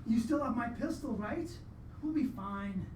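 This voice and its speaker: A brash, dismissive husband in a live radio play played by a woman, high in pitch and quick to brush off blame. The sound is distant and echoey, with a lot of noise. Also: lively intonation. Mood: glib, defensive. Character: brash, dismissive crocodile-shooting man, expectant father, Leonard. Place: live performance venue, recorded from off.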